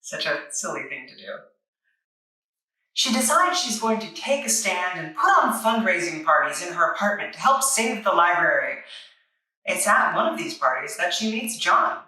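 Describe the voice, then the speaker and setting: A woman narrates a playful scripted review with wry, tongue-in-cheek delivery. Her voice is bright and medium-pitched, heard a little away from the microphone in a very echoey room. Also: very animated, normal speed, recorded from a distance.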